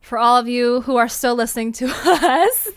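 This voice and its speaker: High, smooth-voiced woman podcast host. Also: moderate pace, no room sound, clean studio sound. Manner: playful, informal. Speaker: host and creator of a fiction podcast. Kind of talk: casual chat.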